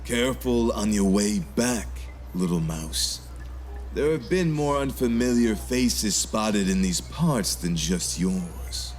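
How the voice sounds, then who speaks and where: Sly, slow-talking man acting a gang leader. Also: on the low side, lively intonation, a little mellow, faint music behind. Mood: cool warning. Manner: sly, unhurried, mocking. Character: smug, teasing male gang leader in a sci-fi tale. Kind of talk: acted fan dub of a visual novel.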